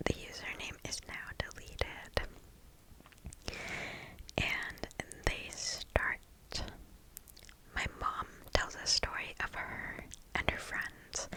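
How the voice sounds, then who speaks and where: A host reading stories aloud in a breathy, hushed ASMR whisper, close to the microphone, in a deliberate way. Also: low-quality recording.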